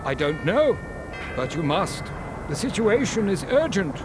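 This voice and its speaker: A man voicing a cryptic feline guide in a video game, solemn, insistent and measured. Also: sits high, sing-song, over music. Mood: stern, urgent. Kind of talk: video game character acting.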